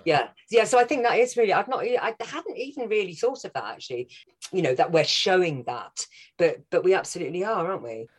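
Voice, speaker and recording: A woman's voice: fairly low pitch, lively intonation, a little fast, breathy edge. A woman from North Wales with a Scouse-tinged accent, chatting as a guest actress, enthusiastic and laughing often. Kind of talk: casual interview chat.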